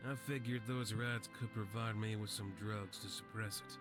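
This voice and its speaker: A man playing a frail, sickly old veteran in a video game, weary, resigned and gentle. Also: lowish voice, fairly even intonation, normal speed, lightly acted. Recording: game music behind the voice.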